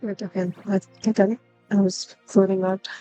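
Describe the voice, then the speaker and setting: A woman's voice: mid-range voice, flat delivery, moderate pace, mellow, no room sound. A woman streamer reacting casually to a video game in brief murmured remarks. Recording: game music behind the voice. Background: video game audio and music.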